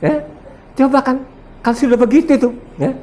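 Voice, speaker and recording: A man's voice: extremely high pitch, fairly quick, darkish tone. A man in his seventies from Sumba, speaking. He preaches a combative, boastful sermon, laughs often and quizzes his listeners. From Sumba, Indonesia. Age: 70s. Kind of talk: sermon to a congregation.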